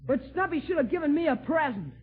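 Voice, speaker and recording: A man's voice: very high-pitched, lively intonation, fast talker. A male performer playing a cheeky, grumbling young American son in 1940s radio comedy style. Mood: sulky. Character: cheeky, grudge-holding young son of the family. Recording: tinny 1940s radio broadcast.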